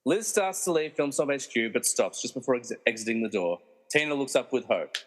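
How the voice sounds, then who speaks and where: A man's voice: medium pitch, expressive, brisk, in a large hall, rough recording. A man narrating a scripted radio play as a dramatic storyteller with wry asides.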